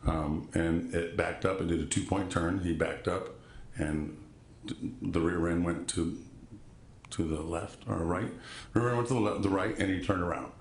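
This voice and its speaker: A man with a deep voice testifying as a witness in court, halting and polite, often restarting sentences. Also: roomy sound, slight background noise. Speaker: trial witness.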